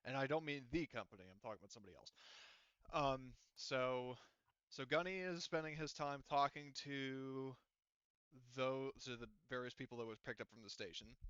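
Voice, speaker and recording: A man's voice: mid-range voice, moderate pace, fairly bright tone, smooth, no echo, lo-fi sound. A man running a tabletop roleplaying session as game master, talking conversationally and often searching for his words.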